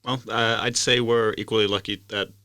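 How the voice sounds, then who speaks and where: A man interviewing a podcast host, talking in a relaxed way with joking asides. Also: on the low side, moderate pace, no room sound. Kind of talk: casual interview chat.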